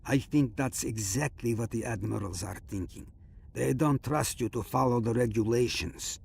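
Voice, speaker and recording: A man's voice: medium pitch, moderate pace, creaky, a little mellow, a little hiss or hum, close to an everyday voice. A man playing a feisty, wry older starship captain in a science fiction audio drama, with theatrical, playful bluster. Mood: confident, blunt.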